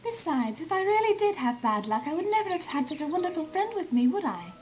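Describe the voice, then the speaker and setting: A woman's voice: extremely high pitch, very animated, brisk, darkish tone. A woman voicing a refined, flirtatious, slightly vain but kindly female unicorn in a theatrical fan-made audio drama. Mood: gentle, apologetic. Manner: genteel, theatrical, coquettish.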